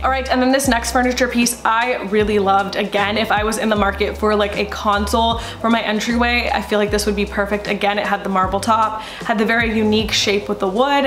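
A woman, chatting enthusiastically to camera about home decor finds, with lots of "like" fillers. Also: medium pitch. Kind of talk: casual shopping haul chat. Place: indoors, living room with sofa. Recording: roomy echo.

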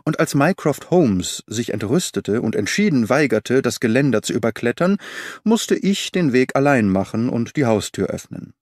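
A man narrating an audiobook in the first person, measured and expressive. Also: mid-range voice, dry recording, studio quality.

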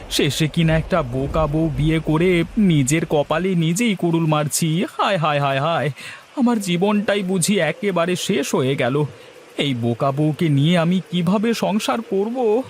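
A man's voice: on the high side, slight background noise. A man playing an exasperated, hungry village farmer husband who complains dramatically in a comedy cartoon. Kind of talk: Bengali comedy cartoon dialogue.

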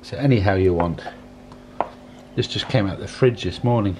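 A man in his sixties giving a casual, unhurried cooking demonstration in a home kitchen, often repeating his phrases as he goes. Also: fairly low pitch. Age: 60s. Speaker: home cook, presenter. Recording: clattering dishes, clicks, kitchen noise.

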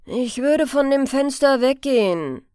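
A woman voicing a sly, sardonic male police chief inspector in an audiobook, speaking in a deliberate, thoughtful manner. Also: high-pitched, lively intonation, mellow, clean tone, dry recording, clean studio sound. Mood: smugly amused.

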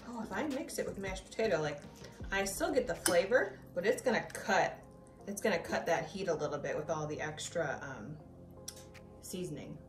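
A young woman chatting casually in a home kitchen while she demonstrates a recipe and tastes as she goes. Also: fairly low pitch. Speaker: cooking vlogger. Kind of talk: casual cooking demo. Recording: echoey kitchen, voice a bit far from mic, background noise.

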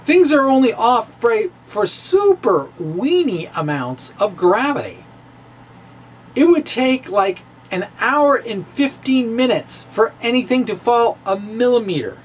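A man's voice: extremely high pitch, very animated, a little slow, slight background noise, rough recording. A middle-aged man giving a dramatic, emphatic science reading to camera, stretching words and dropping in asides. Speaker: science video blogger. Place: small room with bookshelves, indoors. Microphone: microphone on a stand, close in front.

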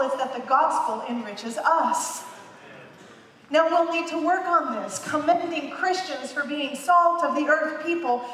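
High-voiced woman preaching in echoey hall. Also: bright, forceful tone, slight background noise. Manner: emphatic, repeats phrases for emphasis, gestures widely. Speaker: pastor. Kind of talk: sermon. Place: large dark church stage. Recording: echoey hall, heard from a distance.

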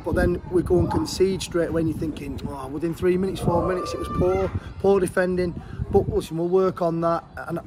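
A middle-aged football manager talks frankly and at length after a match. His voice sits a little high. He is recorded outdoors beside the pitch, with clear wind and traffic noise behind him. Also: flat delivery. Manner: frank, chatty, fast-flowing. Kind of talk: post-match interview.

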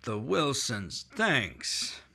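A man's voice: medium pitch, huge pitch swings, drawn-out words, creaky, sharp tone, slightly rough, exaggerated voice. An actor playing a guarded man who has killed, speaking tensely and defensively in a radio-drama recreation. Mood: nervous. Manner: clipped, defensive, hesitant on the phone. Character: tense, guarded male murderer on the run.

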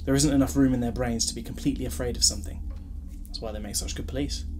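A man playing a flippant, protective alien time traveller in a fan audio drama, with a husky voice and wry, bantering delivery. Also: medium pitch, fairly even intonation, quick pace, music in the background, lightly acted. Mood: explanatory.

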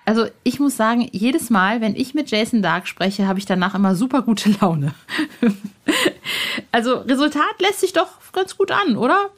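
A woman's voice: a little high, sing-song, moderate pace. A woman in her forties hosting a podcast in a chatty, enthusiastic, casual way. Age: 40s. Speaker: podcast host and interviewer. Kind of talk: casual chat. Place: outdoor roof terrace.

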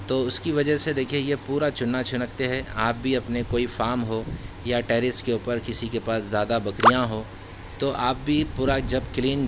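Farmer-host, friendly explainer, mid pitch, phone audio in a shed with light hum. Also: male voice. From Hyderabad, India. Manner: friendly instructional talk with religious greetings. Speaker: goat farmer and channel host. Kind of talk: casual how-to vlog narration. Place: indoor goat shed, off camera.

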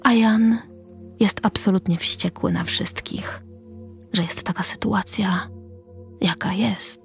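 A woman narrating a radio drama deliberately, pausing between short phrases. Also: medium pitch, fairly even intonation, creaky, whispered, faint music behind.

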